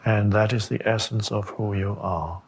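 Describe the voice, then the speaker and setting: A man giving a meditation talk as a spiritual teacher, speaking contemplatively with long pauses and gentle questions. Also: lowish voice, fairly even intonation, slight background noise.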